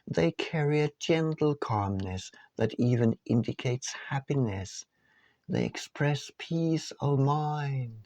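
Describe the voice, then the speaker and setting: A man reading his own book aloud as scripted audiobook narration, in measured, deliberate phrases. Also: mid-range voice, vocal fry, dark tone, no echo.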